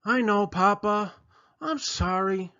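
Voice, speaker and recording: A man voicing an eager, excitable little girl chicken from a storybook, who bursts out with urgent warnings. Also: high-pitched, slow, dull audio, pitched above the performer's own voice, heavily acted. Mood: pleading.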